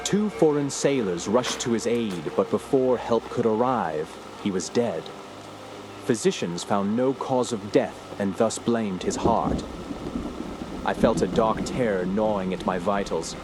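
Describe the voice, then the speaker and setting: A male actor voices a grave investigator telling his story in a radio drama. The low voice is solemn and literary, heavy with dread. Ambient noise sits clearly behind it. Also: a little slow, natural voice. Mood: somber, dread. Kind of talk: scripted first-person radio drama narration.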